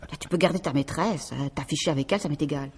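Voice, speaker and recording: Low, husky, stubborn estranged wife. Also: female voice, fast, a little mellow. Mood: insistent, fed up. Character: estranged wife, remorseful but stubborn, pleading then threatening. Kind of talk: French radio mystery drama acting.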